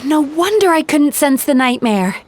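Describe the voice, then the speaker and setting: An actress playing a quiet, puzzled young mystic woman with a deliberate manner in an anime fantasy game. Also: high voice, big pitch swings, noisy background.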